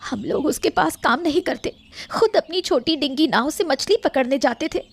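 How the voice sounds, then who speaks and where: A woman's voice: sits high, animated, moderate pace, vocal fry. A woman voicing a fisherman's young wife in a cartoon, who speaks in a worried, earnest way.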